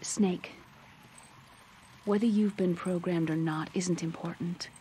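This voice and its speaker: An actress playing an earnest, gentle female scientist in a video game, speaking solemnly and tenderly. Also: on the low side, steady tone, slow pace, a little hiss or hum. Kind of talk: dramatic video game voice acting.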